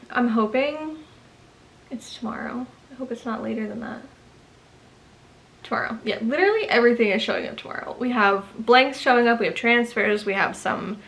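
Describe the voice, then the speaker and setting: Young woman, slightly higher voice, chatty rambling vlog talk, a little stuffy-nosed. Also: lively intonation, moderate pace, a bit bright, low-quality recording. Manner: chatty, rambling, many ums and asides. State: stuffy from dust allergy. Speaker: small business owner vlogging. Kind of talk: casual vlog chat to camera. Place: home studio office.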